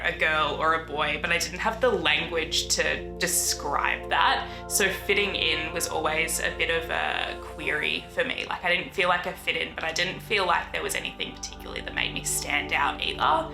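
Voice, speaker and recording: Low, gravelly voice in an echoey room. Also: female voice. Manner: thoughtful, measured, with frequent "you know". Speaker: interviewee. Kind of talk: reflective documentary interview. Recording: echoey room with music behind.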